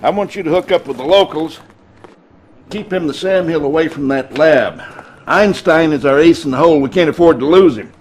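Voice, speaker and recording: An actor playing a gruff, folksy American army general, stern but hearty, in a video game cutscene. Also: male voice, on the high side, animated, normal speed, background noise, natural voice. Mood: urgent, commanding.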